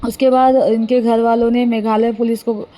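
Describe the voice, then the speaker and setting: High-voiced woman, mellow, halting explainer. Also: little pitch movement, low-quality recording. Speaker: vlogger recounting a news case. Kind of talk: informal, unscripted news recounting.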